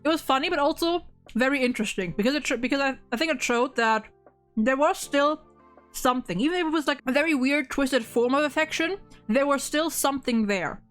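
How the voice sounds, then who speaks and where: A woman's voice: sits high, a little fast, a bit bright, faint music behind. A woman in a casual discussion of a video game, talking emphatically and swearing freely. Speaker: host.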